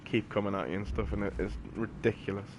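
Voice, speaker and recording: A man's voice: lowish voice, steady tone, fast talker, background noise. A man giving casual gameplay commentary in a rambling, matter-of-fact, slangy way. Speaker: game streamer. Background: game music and sound effects.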